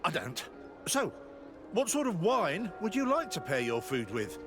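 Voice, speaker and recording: A genteel male merchant from a fantasy game, with a high, lively voice. He is courteous, salesmanlike and evasive, over clearly audible music. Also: measured pace, full character voice. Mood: evasive, uneasy. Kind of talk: video game dialogue. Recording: music behind the voice.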